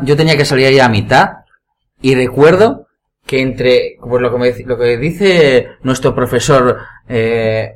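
A man with a Spanish accent from Spain, telling anecdotes in a casual group chat as a podcast panelist. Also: medium pitch. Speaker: podcast panelist and amateur actor.

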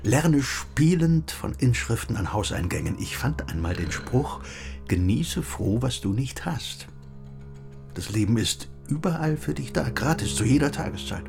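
An actor playing a middle-aged, bookish recluse in a radio play, speaking in a reflective, lyrical and measured way. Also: male voice, medium pitch, lively intonation, creaky, a little mellow, soft background music. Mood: musing, lyrical. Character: wistful, gently philosophizing bookish recluse husband. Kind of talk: German radio play acting.

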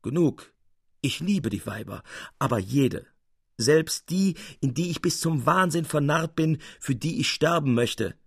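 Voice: mid-range voice, lively intonation, a little slow, vocal fry, slightly rough, no room sound, studio quality, voice pushed up, heavily acted. A man reading the part of a worldly, sceptical friend in an eloquent, emphatic, confiding manner. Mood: brooding, emphatic. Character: worldly male painter friend, wry, brooding, sceptical of women.

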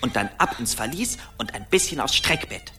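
An actress playing a small, cheeky, resourceful male earwig, brisk and expressive, in a radio play. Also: low voice, exaggerated voice. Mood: conspiratorial, cocky. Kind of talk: German radio play acting.

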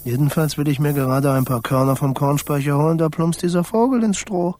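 A man's voice: on the high side, fairly quick, lightly acted. A man playing a chatty, scatterbrained barn mouse in a children's radio play, rambling and forgetful in an offhand way. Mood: chatty storytelling. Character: chatty scatterbrained barn mouse, a family creature writing a letter. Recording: loud music behind the voice.

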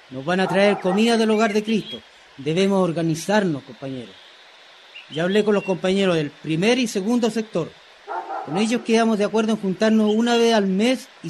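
A high-voiced Chilean community leader played by a man addresses poor shantytown dwellers in a radio drama. He is earnest and exhorting, with an orator's pleading. A noisy crowd clamours behind him. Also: natural voice. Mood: urgent, resolute. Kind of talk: radio drama acting, speech to an assembly.

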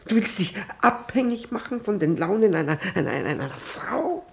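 Flustered, creaky, jealous husband. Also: male voice, sits high, sing-song, normal speed, slight background noise, exaggerated voice. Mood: flustered indignation. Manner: stammering, indignant, theatrical. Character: flustered jealous older husband, pompous and evasive. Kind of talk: 1960s German radio play acting.

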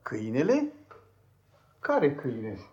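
An actor playing a resigned, dry schoolmaster in a 1950s radio play, speaking in a measured, matter-of-fact way. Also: male voice, medium pitch, wildly swooping pitch, a little mellow, highs cut off. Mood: puzzled, dry. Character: resigned, dry male schoolmaster showing a new pupil around. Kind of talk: 1950s Romanian radio theatre acting.

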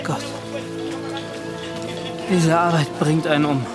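A man's voice: a little high, steady tone, takes it slowly, over music. An actor playing a troubled, proud and restless young man in a radio play. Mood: weary, bitter.

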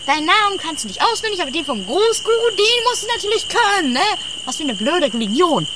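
A woman's voice: extremely high pitch, sing-song, fast talker. A woman playing a naive, nagging, whiny companion in a comedy radio play, full of questions and complaints. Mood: snippy. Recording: clear outdoor night noise, insects and owls.

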